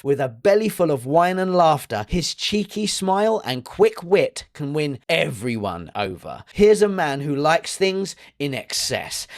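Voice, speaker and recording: A man's voice: on the high side, no echo. The man's voice you would hear over an online fantasy series breakdown, dramatic and earnest, recorded dry and close. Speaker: video essay narrator and reviewer. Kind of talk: scripted dramatic commentary.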